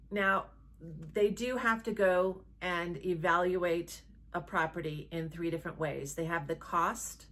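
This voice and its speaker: A woman real estate agent talking conversationally to camera, explaining as she goes and often checking in with a quick questioning tag. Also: fairly low pitch, a bit bright. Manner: conversational, checks in with rhetorical "right?".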